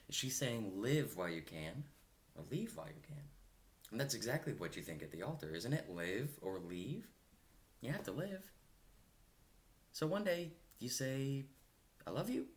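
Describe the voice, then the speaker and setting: A man performing a stage monologue as a wistful, bitter middle-aged musical fan, confiding in the audience with dramatic pauses. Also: fairly low pitch, fairly bright tone. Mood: fascinated, hushed, then rueful, intense. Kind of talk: theatrical monologue addressed to the audience.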